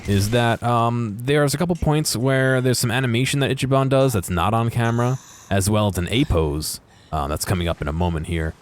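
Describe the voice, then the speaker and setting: A man giving casual, unscripted commentary over game footage in a halting, explanatory way, correcting himself as he goes. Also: mid-range voice. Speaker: video creator narrating game footage.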